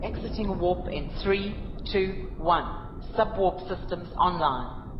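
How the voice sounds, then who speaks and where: An efficient female starship officer, acted by a woman, slightly low in pitch. She delivers clipped system reports in a sci-fi audio drama, in dull sound with strong echo. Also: slow pace. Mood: businesslike. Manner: clipped procedural announcements. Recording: dull, echoey, noisy ship bridge.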